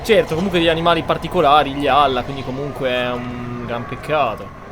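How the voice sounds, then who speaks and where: A man's voice: mid-range voice, fairly even intonation, fast talker, background noise. A man giving casual roleplay commentary while playing a video game, with game music behind him. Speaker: gaming streamer.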